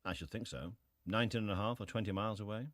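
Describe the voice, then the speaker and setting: An actor playing a sea captain who gives restrained, matter-of-fact testimony in a dramatized historical hearing. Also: male voice, on the low side, fast talker, no echo, clean studio sound, lightly acted. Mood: terse, restrained.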